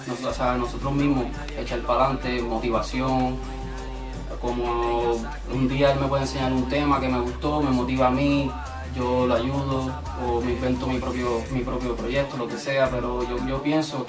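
A man's voice: mid-range voice, level tone, moderate pace, over music. A Puerto Rican man, a guest musician, answering interview questions in an earnest, repetitive way. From Puerto Rico. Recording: echoey room, mic far off.

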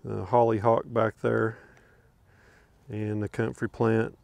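A man's voice: lowish voice, flat delivery, slow pace, gravelly, darkish tone, no room sound. An older man in his garden outdoors, rambling easily with lots of fillers as he films a walkthrough from behind a handheld camera. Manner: easygoing, rambling, with many fillers and chuckles. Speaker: homesteader showing his garden. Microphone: handheld camera, speaking from behind it.

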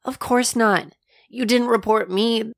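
A woman playing an earnest, hesitant sentient android in an audio drama, speaking in halting, precise, formal phrases. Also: on the high side, gravelly, dry recording, studio quality.

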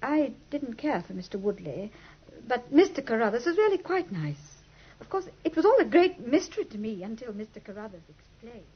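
High-voiced genteel young lady. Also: sing-song, a little fast, slightly rough. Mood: earnest. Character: well-bred, polite young lady music teacher. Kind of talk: 1950s radio drama acting. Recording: dull old 1950s radio recording.

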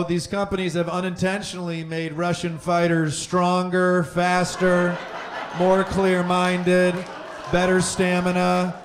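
A man in his thirties doing measured, deadpan stand-up on a small theater stage into a handheld mic. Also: high-pitched, slight background noise. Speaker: stand-up comedian. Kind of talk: stand-up set, list-based bit. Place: small comedy theater stage, red curtain.

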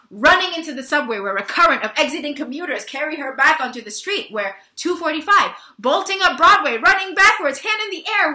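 A woman's voice: high voice, pushed and bright, reverberant. A woman in her thirties giving a theatrical scripted reading, with dramatic, emphatic storytelling, recorded at home on a webcam. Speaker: actor giving a staged reading. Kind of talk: theatrical scripted narration of a stage play. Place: small bare-walled room at home.

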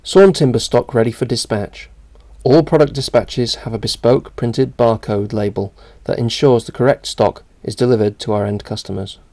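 A man reading a scripted narration for a company video in an even, measured way. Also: mid-range voice.

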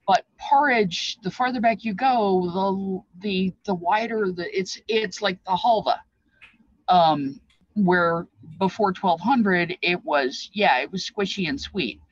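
A woman's voice: medium pitch, slow pace, breathy edge, no room sound. Older woman, informal explainer, rambling, reflective, reminiscing, lo-fi webcam sound. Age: older, past fifties. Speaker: presenter explaining feast dishes. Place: small cluttered craft room, indoors. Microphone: webcam at desk distance.

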